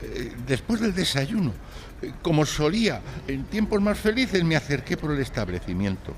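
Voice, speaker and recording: A man's voice: fairly high pitch, sing-song, normal speed, slight background noise. An actor playing a timid, anguished young man in a live audio drama, speaking with hesitant, sighing delivery. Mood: nervous, hesitant. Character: timid, anguished young male client telling his misfortune.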